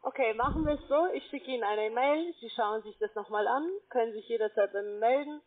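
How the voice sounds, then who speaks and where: A woman's voice: a little high, fairly quick. A woman working as a phone sales agent, speaking defensively and persistently in an argument. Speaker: telephone sales agent, account manager. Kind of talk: sales call turned argument. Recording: thin, band-limited phone-line sound.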